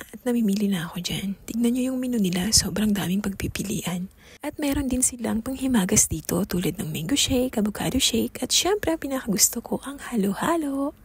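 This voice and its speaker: A young woman from the Philippines narrating a travel and food vlog in a soft, half-whispered voice-over. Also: fairly high pitch, breathy edge. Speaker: vlogger.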